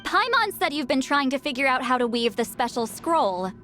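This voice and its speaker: A woman's voice: high voice, big pitch swings, normal speed, a bit bright, faint music behind. A woman voicing a blunt, grumbling, centuries-old shaman in a scripted video game. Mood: brusque. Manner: brusque, grumbling, casual. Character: centuries-old female shaman, youthful-sounding, blunt and testy.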